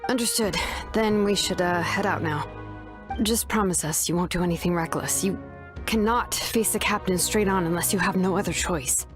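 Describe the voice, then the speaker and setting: A woman's voice: fairly low pitch, normal speed, lightly acted. Game companion, voiced by a woman: young adventurer woman, self-assured and casual, light music behind. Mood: concerned, loyal. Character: confident, easygoing young female adventurer, loyal. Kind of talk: scripted video game voice acting.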